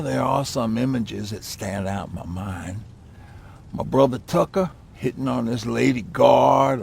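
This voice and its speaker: Casting: old folksy mechanic, heavy gravel and rasp, easygoing game-drama survivor. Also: male voice, medium pitch, darkish tone, heavily acted. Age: older. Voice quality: gravelly, husky. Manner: folksy, reminiscing. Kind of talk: game voice acting, conversational.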